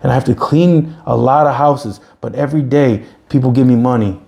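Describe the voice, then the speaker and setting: A man in his forties hosts a casual podcast chat. His voice is gravelly and mellow, and he talks in an earnest, repetitive pep-talk style with plenty of restarts. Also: medium pitch, brisk. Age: 40s. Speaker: podcast host.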